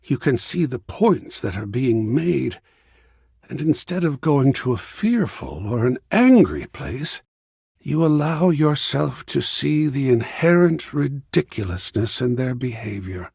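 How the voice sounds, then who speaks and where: An actor playing an excitable, kindly, philosophical art gallery curator in a video game, speaking in a florid, theatrical way that savors words. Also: male voice, medium pitch, measured pace, gravelly, breathy edge, no echo, studio quality, exaggerated voice. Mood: warmly admiring. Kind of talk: theatrical game character dialogue.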